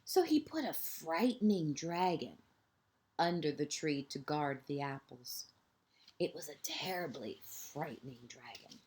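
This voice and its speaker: A woman reads a children's storybook aloud in the manner of a kindergarten teacher. Her delivery is slow and deliberate, with highly varied intonation. She leaves dramatic pauses and leans on key words for young listeners. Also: expressive, darkish tone. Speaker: transitional kindergarten educator reading a picture book to pupils.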